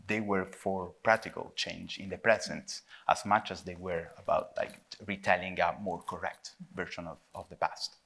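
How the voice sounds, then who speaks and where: A man with an Italian accent, a filmmaker answering at a panel discussion, thinking aloud with pauses and a habit of tagging "no?" onto his points. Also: deep voice, a bit bright. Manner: thoughtful, halting, frequent tag "no?". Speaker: filmmaker guest.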